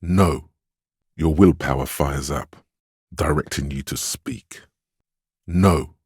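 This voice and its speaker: An actor voicing a stern, steadfast inner voice of willpower in a video game, measured and dryly sardonic as he admonishes. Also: a bass voice, darkish tone, breathy edge, dry recording, clean studio sound, full character voice. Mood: firm, scolding. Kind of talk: scripted second-person game narration, inner voice.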